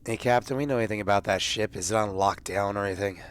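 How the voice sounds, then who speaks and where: A man with a fairly low voice plays a tabletop roleplaying game. He talks casually, as one player among friends at the game table. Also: fast, clean tone.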